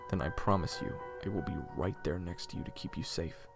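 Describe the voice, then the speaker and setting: A man's voice: deep voice, fairly even intonation, a little fast. A man playing a protective young fantasy chef in an audio drama, talking casually with hesitant, concerned stammers. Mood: gently reassuring. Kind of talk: casual, modern-sounding audio roleplay acting. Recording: music bed under the voice.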